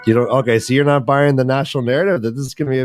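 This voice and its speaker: A man's voice: medium pitch, steady tone, racing, faint music behind. Casual, jokey man hosting a sports livestream. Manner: jokey, colloquial, addresses listeners directly. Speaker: host. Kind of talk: casual fantasy football livestream chat.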